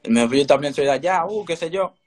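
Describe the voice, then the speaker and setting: A man's voice: a little high, animated, fast. A teenage young man with a Dominican accent, giving casual answers as an interview guest on a video call. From Dominican Republic. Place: indoor room, remote video call. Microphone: video call, phone or laptop close in front.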